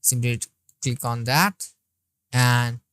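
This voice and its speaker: Woman instructor with a strikingly low voice, unhurried, talking through each click in a dry, close voice-over. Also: steady tone, fairly bright tone, clean tone, no room sound. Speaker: software tutorial instructor. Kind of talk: screen-recorded tutorial walkthrough.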